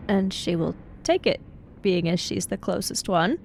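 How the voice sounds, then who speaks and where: A woman's voice: on the low side, lively intonation, unhurried, creaky, a little mellow, a little hiss or hum. A woman playing a tabletop game, casually narrating what her character does.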